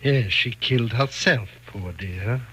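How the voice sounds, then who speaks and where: An actor in a 1940s radio thriller playing a menacing, controlling husband, deliberate, insistent and falsely tender. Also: male voice, mid-range voice, fairly bright tone. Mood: cold, mocking. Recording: dull, faintly noisy 1940s radio recording.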